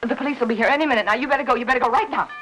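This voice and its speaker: An American actress playing a frightened, distraught housewife in a 1950s film noir, speaking in halting, pleading phrases. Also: female voice, fairly high pitch, fairly bright tone, faint music behind, natural voice. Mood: distraught, fearful. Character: frightened, distraught housewife cornered by suspicion, guilt-stricken. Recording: old 1950s film soundtrack.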